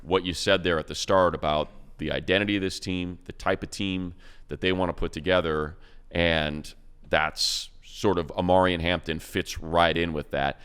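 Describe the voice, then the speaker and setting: Man, very low voice, smooth tone, radio announcer, enthusiastic stat rundown, podcast chat. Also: steady tone, a bit bright. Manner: fast, enthusiastic stat-heavy breakdown. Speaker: radio play-by-play announcer, co-host.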